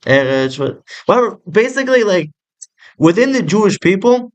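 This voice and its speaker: A man's voice: high voice, very animated, moderate pace. A young man in casual, rambling talk, swearing freely, peppering every line with "like" and "bro" and dropping in Hebrew words. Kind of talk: casual chat.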